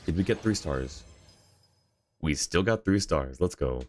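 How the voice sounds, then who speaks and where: A man's voice: low-pitched, lively intonation, unhurried, a little mellow, a little hiss or hum. A man livestreaming a game, giving casual commentary and thinking aloud in a hesitant way. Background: game music.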